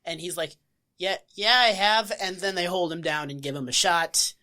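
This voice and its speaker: A woman's voice: lowish voice, moderate pace, sharp tone. Wry, swearing woman podcast co-host. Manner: wry, swears casually, acts out scenes. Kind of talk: casual chat.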